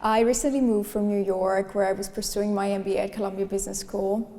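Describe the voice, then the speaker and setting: A woman's voice: mid-range voice, level tone, fast talker, warm tone. A young woman speaking as a panelist, poised and articulate. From Greece. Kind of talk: panel discussion answers. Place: conference hall on stage. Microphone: handheld mic. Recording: reverberant conference hall.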